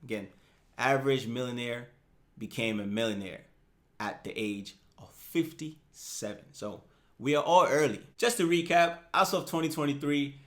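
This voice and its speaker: A man in his thirties hosting a personal finance channel, talking straight to the viewer in a casual, upbeat way and checking in with "right?" and "okay?". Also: moderate pace. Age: 30s. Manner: animated, rises in pitch and loudness for emphasis. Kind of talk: casual explainer talking to camera.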